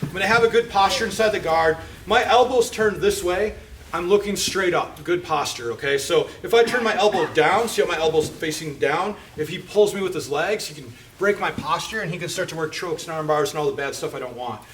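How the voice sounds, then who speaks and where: A middle-aged man teaching jiu-jitsu in an indoor gym, talking casually and a bit self-deprecating. His voice sits slightly high for a man. There is a faint hum of room ambience. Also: a little fast, fairly bright tone. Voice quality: chatty, self-deprecating, frequent fillers like "okay?" and "right?". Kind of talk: casual storytelling and technique instruction. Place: indoor martial arts gym with mats.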